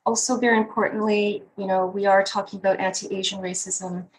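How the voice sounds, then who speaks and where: A woman's voice: mid-range voice, flat delivery, brisk, slight background noise, poor audio. Welcoming woman professor on a video call. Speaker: professor and psychologist. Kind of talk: virtual presentation, introductions.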